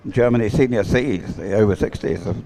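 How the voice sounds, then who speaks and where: A man's voice: on the low side, brisk, vocal fry, warm tone, poor audio. A man giving live race commentary in a rambling, hesitant way, as if thinking aloud.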